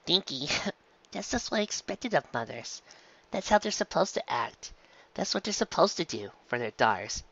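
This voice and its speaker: A woman's voice: deep voice, very animated, normal speed, fairly bright tone, dry recording, lo-fi sound, pitched above the performer's own voice, heavily acted. A woman voicing a loving, protective pegasus mother in a story, speaking in a tender but firm maternal way. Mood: humble, loving.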